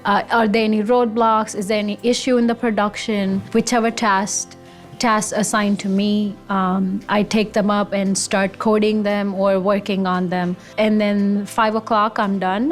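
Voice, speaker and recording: A woman's voice: mid-range voice, steady tone, measured pace, faint music behind. A woman in her thirties from India, an automation engineer, talking about her career in an enthusiastic, conversational way. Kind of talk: career interview monologue.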